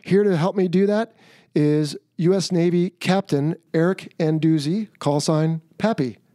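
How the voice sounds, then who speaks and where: A man's voice: on the high side, measured pace, dark tone, no room sound, clean studio sound. A middle-aged man hosting a podcast, a retired Navy pilot, chatting in a friendly, upbeat way with his guest. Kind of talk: casual interview hosting.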